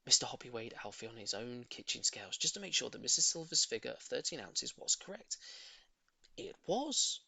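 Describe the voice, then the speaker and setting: A man reading a children's story in an expressive, theatrical narrating style. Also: medium pitch, brisk, vocal fry, no echo. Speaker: audiobook reader.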